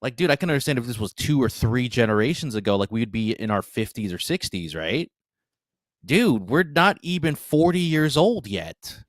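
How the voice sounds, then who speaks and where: A man's voice: mid-range voice, sing-song, normal speed, no echo. A man in his late twenties chatting casually as a livestream guest, informal and slangy in his talk. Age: 20s. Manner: informal, slangy, often addressing the listener as dude. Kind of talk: casual chat.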